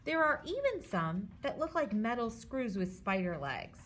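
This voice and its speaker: A woman's voice: on the high side, big pitch swings, normal speed, fairly bright tone, slight background noise. A woman teacher reading a children's book aloud, stopping to explain things simply and reassure her young listeners.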